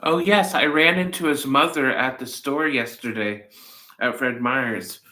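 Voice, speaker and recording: A man's voice: medium pitch, measured pace, sharp tone. A man hosting a cooking livestream, rambling casually with playful, silly asides. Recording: echoey room.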